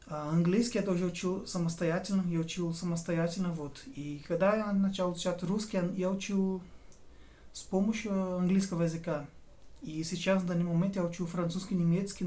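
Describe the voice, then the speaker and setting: A young man from Brazil with a Brazilian Portuguese accent, a Portuguese native speaker talking casually to camera in halting speech, often stopping to search for words and correct himself. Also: sits high, dark tone. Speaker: language learner and tutor.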